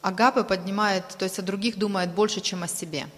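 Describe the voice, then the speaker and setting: A woman interpreting a sermon aloud, delivering it phrase by phrase in a halting way. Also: medium pitch, steady tone, a bit bright. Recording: long reverberant hall sound.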